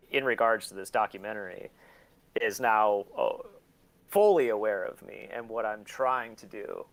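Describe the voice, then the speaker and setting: A man's voice: fairly low pitch, a bit bright. A man being interviewed, telling stories in casual chat with blunt, sweary talk and an easy laugh. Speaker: interview guest, collector and documentary maker.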